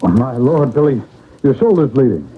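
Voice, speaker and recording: Earnest detective sidekick, tinny sound. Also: male voice, mid-range voice, sing-song, normal speed, slightly rough. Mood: concerned. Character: earnest, cautious male detective sidekick on a stakeout. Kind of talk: old-time radio drama acting. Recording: narrow, noisy vintage radio recording.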